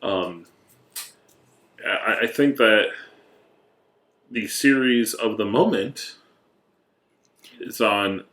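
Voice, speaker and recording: Low-voiced man bantering casually on a podcast. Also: extremely slow, long pauses, a bit bright. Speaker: podcast co-host. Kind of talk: casual banter between friends.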